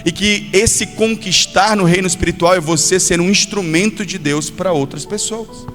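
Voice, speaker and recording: High-voiced Brazilian male pastor preaching. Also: a bit bright. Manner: exhortative, direct address with acted-out quotes and repetition. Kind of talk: sermon. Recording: long hall reverb, music behind. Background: music.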